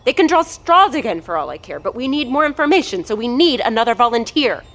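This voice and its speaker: An actress playing an arrogant, impatient research doctor, haughty and cutting in an audio drama. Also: female voice, on the high side, sing-song, quick pace, fairly bright tone. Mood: scornful, impatient. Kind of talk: scripted audio drama dialogue.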